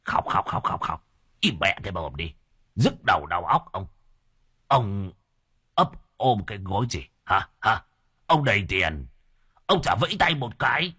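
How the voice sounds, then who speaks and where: A man's voice: on the low side, big pitch swings, a little slow, long pauses, sharp tone, husky, full character voice. A man voicing a small, crude old husband who curses and squawks at people. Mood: scornful. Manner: coarse and cursing.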